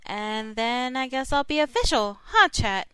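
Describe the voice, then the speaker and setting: Woman as a bright, animated young intern. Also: sits high, a little slow, clear, resonant tone, heavily acted. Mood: curious, friendly. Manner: perky, expressive. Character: friendly, curious young female lab intern. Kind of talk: comic book dub acting.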